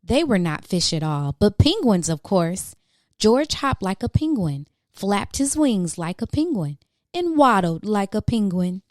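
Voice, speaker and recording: Expressive, mellow woman reading to children. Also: medium pitch, unhurried, dry recording, studio quality. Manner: dramatic questions and exclamations for young listeners. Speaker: children's storybook reader, channel host. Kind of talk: expressive read-aloud of a children's picture book.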